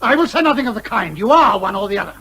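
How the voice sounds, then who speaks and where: An actor playing an indignant, short-tempered major, peremptory and spluttering, in a comic radio play. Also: male voice, high-pitched, sing-song, racing, pushed and bright, slight background noise, heavily acted. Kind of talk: comic radio drama acting.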